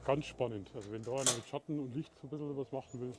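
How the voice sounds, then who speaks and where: A middle-aged man from Bavaria with a German accent, chatting casually while walking outdoors and talking to viewers in a live video, moving between German and halting English. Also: medium pitch, heavy background noise. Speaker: livestream vlogger. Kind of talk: casual live walk-and-talk. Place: outdoors in a town at night.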